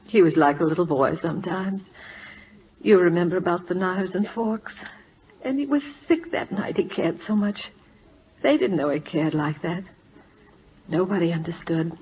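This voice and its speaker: An actress playing a devoted, earnest schoolteacher at a royal court in a 1940s radio drama, precise and emotional in delivery. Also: female voice, lowish voice, lively intonation, moderate pace, darkish tone, slightly rough. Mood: grieving. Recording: dull old radio recording, orchestral music.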